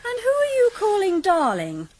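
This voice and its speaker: A woman playing a teasing, brisk young amateur sleuth in a radio crime drama. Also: very high-pitched, wildly swooping pitch, a little mellow, full character voice.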